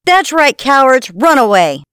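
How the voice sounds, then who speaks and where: A man's voice: very high voice, very animated, measured pace, a bit bright, clear, resonant tone, no room sound, studio quality, pitched above the performer's own voice, heavily acted. A man voicing a spoiled, haughty female talking sword princess, nagging and indignant, in a fantasy audiobook. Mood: indignant taunting.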